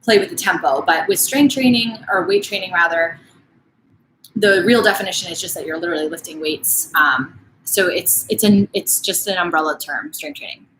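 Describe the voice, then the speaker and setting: A woman's voice: on the low side, normal speed, a bit bright, reverberant, slight background noise, poor audio. A woman in her late twenties, a fitness coach casually answering viewer questions in a live stream, full of "like" and "um" fillers. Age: late 20s. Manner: casual, chatty, frequent "like" and "um" fillers.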